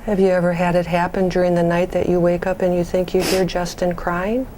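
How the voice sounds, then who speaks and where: A woman playing a patient bereavement counselor on a support call, gentle, measured and reassuring in the way she talks. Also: lowish voice, completely flat delivery, slight background noise, close to an everyday voice, poor audio. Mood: calm, reassuring. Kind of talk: role-played counseling phone conversation.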